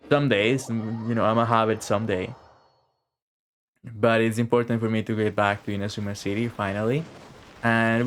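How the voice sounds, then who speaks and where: A man streaming a game with casual, rambling commentary, repeating words and swearing now and then. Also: on the low side. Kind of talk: casual live gameplay commentary.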